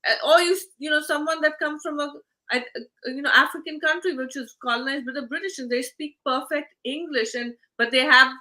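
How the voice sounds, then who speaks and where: A woman with a fairly high, very bright voice, hosting an interview. She chats freely and peppers her speech with "you know" and "uh". Her tone stays crisp and forward. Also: steady tone, a little fast. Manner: chatty, many fillers like "you know" and "uh". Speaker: host. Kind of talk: conversational interview.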